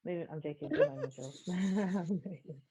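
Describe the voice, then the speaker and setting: A woman's voice: deep voice, huge pitch swings, brisk, warm tone, a little hiss or hum. A young woman, a podcast guest, chatting casually in an emphatic, joking manner. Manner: emphatic, playful, jokey. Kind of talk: casual chat. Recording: tinny phone-like audio.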